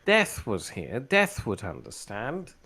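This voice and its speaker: Animated man bantering over a game. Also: slow pace, breathy edge. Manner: playful, teasing, jumps up in pitch when surprised. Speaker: livestreamer playing a building game with friends. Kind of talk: casual gaming chat.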